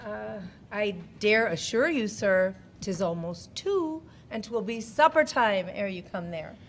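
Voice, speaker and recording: An actress playing a spirited wife in a Shakespearean comedy audio play, with theatrical classical phrasing. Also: female voice, medium pitch, animated, unhurried. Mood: protesting. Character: spirited wife, contrary then mockingly obedient. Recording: noticeable background noise.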